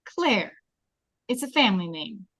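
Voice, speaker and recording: A woman's voice: medium pitch, sing-song, a little slow, gravelly, a bit bright, no room sound, studio quality, exaggerated voice. A woman reading a prim, popular teenage girl who is sulky and defensive, at a table read of a film script.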